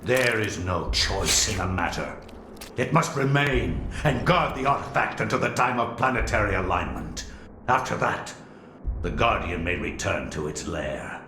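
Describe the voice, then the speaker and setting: A man's voice: lowish voice, expressive, unhurried. A man playing a stern, cold servant of a sinister master in a video game, grave and imperious in manner. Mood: cold insistence. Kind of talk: scripted video game villain acting. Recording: echoey room, clear background noise.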